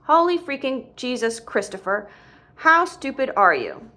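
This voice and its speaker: A woman voicing an angry, exasperated father scolding his son in an audiobook, in a forceful manner. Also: high-pitched, big pitch swings, measured pace, heavily acted. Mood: angry disbelief. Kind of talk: audiobook character dialogue.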